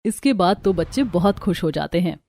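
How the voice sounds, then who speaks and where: Mellow-voiced woman storyteller. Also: lowish voice, expressive, brisk, creaky. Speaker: narrator. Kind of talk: scripted story narration. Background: music.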